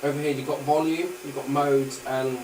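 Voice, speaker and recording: A man's voice: mid-range voice, flat delivery, moderate pace. A British man giving a casual, unscripted product demonstration in a hesitant, rambling manner. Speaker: gadget reviewer. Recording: roomy, distant mic, faint noise.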